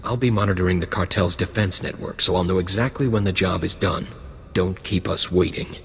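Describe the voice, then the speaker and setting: An actor playing a gruff, blunt gang lieutenant recruiting for a criminal crew in a video game. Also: male voice, low-pitched, brisk, in a large hall, a little hiss or hum. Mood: commanding. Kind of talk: video game dialogue acting.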